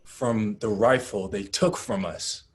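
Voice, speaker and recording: A man playing a grim, sad activist in a dramatic table read, halting and earnest in an understated way. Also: lowish voice, slightly rough. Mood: tense, planning. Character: Gus, grim, sad male activist planning an escape. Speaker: actor.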